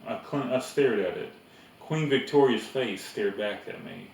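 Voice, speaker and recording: A man's voice: on the low side, lively intonation, unhurried, gravelly, a little mellow. A man reading a storybook aloud as narrator. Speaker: reader. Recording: echoey room, distant mic, faint noise.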